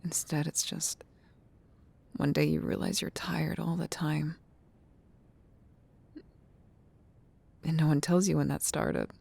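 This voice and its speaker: Woman playing gentle old friend, soft asmr, lowish mellow voice w lots of fry, wistful n reflective. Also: steady tone, heavily acted. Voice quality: soft, breathy near-whisper with creaky fry. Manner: tender, reflective, unhurried. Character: gentle, nostalgic female childhood friend in an old library. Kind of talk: ASMR roleplay, soft intimate talk.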